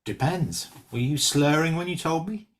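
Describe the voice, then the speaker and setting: A man playing a brash, quick-witted stand-up comedian with sardonic, teasing banter in a script reading. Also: a little high, expressive, lightly acted. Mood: wry.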